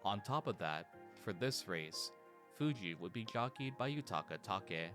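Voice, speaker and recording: Deep-voiced man narrating a documentary. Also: unhurried. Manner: steady storytelling delivery. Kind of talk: scripted narration. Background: soft music.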